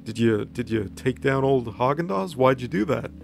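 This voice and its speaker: A man's voice: mid-range voice, animated, normal speed, vocal fry, soft background music. A man voicing a laid-back, friendly demon club owner with chummy, hesitant slang in a comic story recap. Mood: puzzled.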